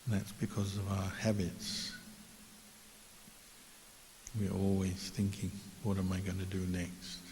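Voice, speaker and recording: A man teaching guided meditation as a Buddhist monk, calm and measured, with long pauses between phrases. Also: low voice. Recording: echoey hall reverb with clear hiss.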